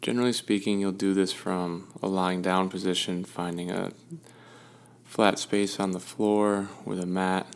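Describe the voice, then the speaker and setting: Male meditation guide, deep and even-toned, smooth with a creaky edge, soothing, leaving space between phrases. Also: unhurried. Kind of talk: guided meditation, gentle instruction.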